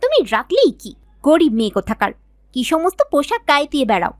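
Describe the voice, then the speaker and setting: A woman's voice: high voice, sing-song, fast, no room sound. A woman voicing a proud, emphatic young girl in a scripted moral cartoon. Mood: scornful. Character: haughty, class-proud young girl, a playmate.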